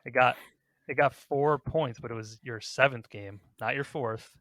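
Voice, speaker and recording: A man's voice: medium pitch, a little slow, fairly bright tone, dry recording. A man hosting a podcast, talking casually as he runs through a ranking discussion. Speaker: podcast host.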